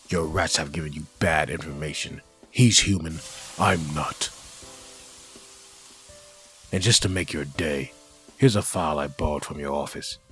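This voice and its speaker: A man playing a menacing undead antihero in a comic book audio drama, making slow, commanding threats. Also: extremely low pitch, a bit bright.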